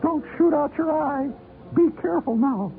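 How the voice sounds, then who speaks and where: A man's voice: very high voice, moderate pace, creaky, faint music behind, voice pushed up. A man voicing an anxious mother calling warnings to a child in a radio story. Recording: tinny, noisy old radio broadcast.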